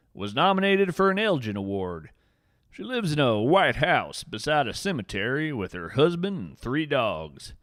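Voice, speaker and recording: A man playing a whimsical, earnest veteran naturalist adventurer, narrating a scripted fiction podcast with theatrical, deliberate phrasing. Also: mid-range voice, fairly bright tone, no room sound, studio quality. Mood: informative, friendly.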